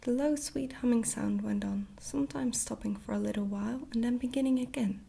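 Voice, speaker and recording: A woman gently reading a storybook aloud in a hushed, whispered ASMR style. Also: on the high side, fairly quick, creaky, slightly rough. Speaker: storybook reader, ASMR creator.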